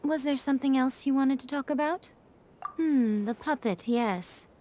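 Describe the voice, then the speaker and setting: A woman's voice: high voice, normal speed, dark tone, a little hiss or hum, natural voice. A woman voicing a sly, elegant female shrine priestess in a video game, playful, wry and knowing. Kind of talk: video game character dialogue.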